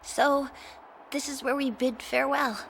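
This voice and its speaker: A woman playing a self-reliant, wryly affectionate young adventurer in a video game cutscene, with a slow, theatrical delivery and a creaky edge. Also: high voice, steady tone, a bit bright, a little hiss or hum, heavily acted. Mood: wry, wistful.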